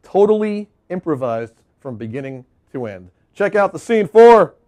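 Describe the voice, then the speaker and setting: Slightly high-voiced man hosting a show. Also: big pitch swings, normal speed, darkish tone. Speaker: web show host and independent filmmaker. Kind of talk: casual presenting to viewers.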